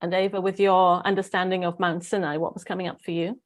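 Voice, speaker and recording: A woman's voice: lowish voice, level tone, quick pace. A woman with a Welsh accent hosting a casual spiritual group discussion in an informal, rambling way. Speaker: host.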